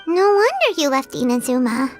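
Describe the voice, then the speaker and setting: Tiny cheerful game fairy: very high childlike squeak, lively swings, curious and bubbly, clear music underneath. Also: female voice, normal speed. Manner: bubbly, refers to itself in third person. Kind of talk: video game character acting. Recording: background music behind dialogue.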